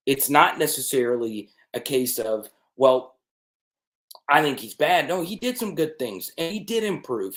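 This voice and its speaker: Man, sports stream host, mid pitch, emphatic, keeps asking "okay?". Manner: emphatic, repeats "okay?" to check in with viewers. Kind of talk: live stream commentary. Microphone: desk mic with changed settings.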